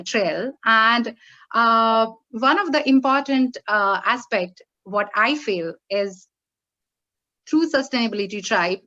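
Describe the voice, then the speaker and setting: A woman moderating an online panel, speaking haltingly with many fillers as she sets up the next question. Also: mid-range voice, sharp tone, lo-fi sound.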